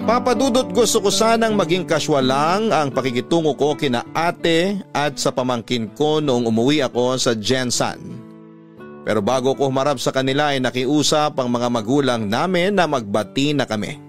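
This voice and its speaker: A Filipino man playing an earnest letter writer, narrating his family story in a measured, confiding radio drama style. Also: medium pitch, faint music behind, lightly acted. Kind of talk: scripted first-person radio drama narration.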